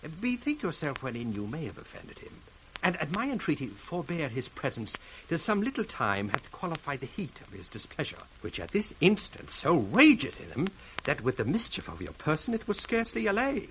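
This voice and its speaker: An actor with a British accent playing a sly, ambitious bastard son in a theatrical, sardonic 1960s radio Shakespeare style. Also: male voice, a little high, wildly swooping pitch, brisk, a bit bright, full character voice, low-quality recording. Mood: feigned concern. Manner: theatrical, sardonic, crisply declaimed. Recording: faintly hissy 1960s radio recording.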